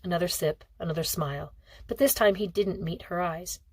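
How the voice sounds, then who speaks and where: A woman's voice: on the low side, moderate pace, a little mellow, husky, dry recording. A woman reading a novel aloud as scripted audiobook narration. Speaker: audiobook reader.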